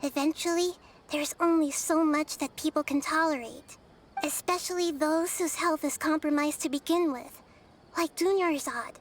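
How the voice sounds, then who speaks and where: Woman as a high-voiced gentle young girl deity. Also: fairly even intonation, slight background noise, lightly acted. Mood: explaining calmly. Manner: measured, explanatory, earnest. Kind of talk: video game voice acting.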